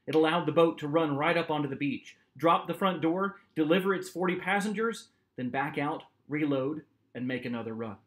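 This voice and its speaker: A man in his forties reading a novel aloud as its author, with expressive, dramatic narration. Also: fairly high pitch. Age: 40s. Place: small home office, indoors.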